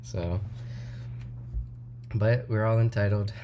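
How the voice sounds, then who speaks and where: A man hosting a podcast, talking casually and haltingly with many fillers. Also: lowish voice, steady tone, noisy background. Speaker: podcast host. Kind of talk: casual interview conversation.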